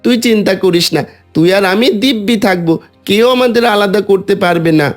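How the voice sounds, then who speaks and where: Humble orphan boy, played by a man: very high for a male, earnest, loyal, music bed. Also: a little fast, close to an everyday voice. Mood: confident. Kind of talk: Bengali animated story voice acting.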